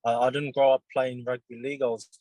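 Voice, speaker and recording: A young New Zealand man in his twenties, a rugby league player answering interview questions in a halting, casual way with many pauses and restarts. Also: medium pitch, little pitch movement, warm tone, no echo.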